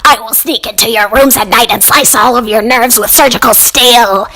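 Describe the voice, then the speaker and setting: A woman's voice: on the high side, fairly even intonation, moderate pace, sharp tone. A woman voicing a crude, bloodthirsty possessing spirit that snarls threats in comic horror style. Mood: vicious. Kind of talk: comic horror character acting.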